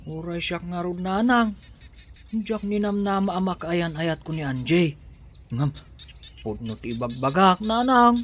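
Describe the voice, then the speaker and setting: A man's voice: high voice, lively intonation, unhurried, long pauses, fairly bright tone, slight background noise. An actor with a Filipino accent playing a humble, devoted young suitor pleading his love in a radio drama. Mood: earnest. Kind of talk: Ilocano radio drama acting.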